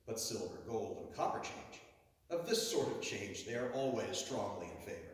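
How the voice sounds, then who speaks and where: Booming orator in an echoing hall. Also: male voice. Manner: booming, dramatic swells and drawn-out stresses. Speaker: orator reading a historic speech. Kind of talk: declamatory oration reading. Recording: echoey hall, heard from far off.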